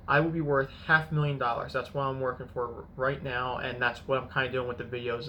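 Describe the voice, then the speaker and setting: A man's voice: medium pitch, sharp tone, a little hiss or hum, poor audio. A man in his thirties telling his own money story in a casual, rambling vlog, often correcting himself mid-sentence. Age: 30s. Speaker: finance vlogger.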